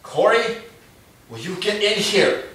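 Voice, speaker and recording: A man acts out a weary, sarcastic young husband whose voice sits fairly high and swings widely as he argues. He sounds distant, in an echoey room with faint hiss. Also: very animated, takes it slowly, exaggerated voice. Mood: agitated. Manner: dry, sarcastic, rising to yelling. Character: exasperated young husband, a lawyer. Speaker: husband in a quarrel.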